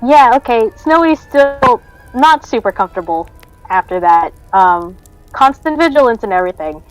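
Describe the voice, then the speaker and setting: A woman's voice: on the high side, animated, normal speed, soft background music, rough recording. A woman talking casually as a player in a tabletop role-playing game, saying what their character does.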